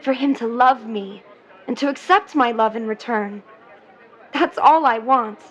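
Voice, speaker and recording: High-pitched young sweetheart, earnest, poetic, 1950s audio drama, dull band-limited sound. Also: female voice, unhurried, fairly bright tone, some chatter behind. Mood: pleading, romantic. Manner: dreamy, polite, poetic. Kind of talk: vintage-set audio drama acting.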